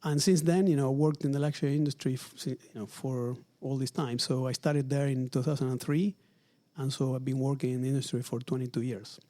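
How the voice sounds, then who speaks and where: A man in his forties from Argentina, speaking English with an Argentine Spanish accent, answering interview questions in a measured, thoughtful way with frequent fillers. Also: mid-range voice, steady tone, warm tone. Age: 40s. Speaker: retail executive guest. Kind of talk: interview conversation. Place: office at company headquarters.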